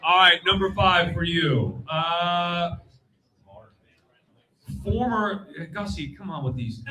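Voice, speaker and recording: A man hosting lively live trivia on stage, blunt and joking, reacting quickly with exclamations. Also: sits high, low-quality recording. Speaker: game show host at a fan convention panel. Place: live stage before an audience at a convention.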